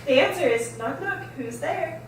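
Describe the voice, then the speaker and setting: A woman's voice: high voice, animated, normal speed. A woman reading the part of a playful, competitive mother at a script table read, in an echoey room. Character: playful, competitive mother playing along with game show. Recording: echoey room, distant mic, faint noise.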